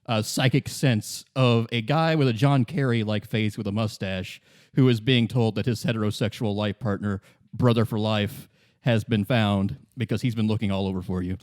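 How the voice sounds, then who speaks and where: A man acting as game master, narrating and explaining a story casually in halting, improvised phrases. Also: fairly low pitch, a little mellow, no echo, clean studio sound. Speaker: game master, podcast co-host.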